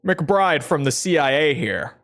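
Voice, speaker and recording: A man voicing a bland male CIA agent in a put-on Canadian accent, reading a mission briefing flatly. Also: on the high side, measured pace, slight background noise.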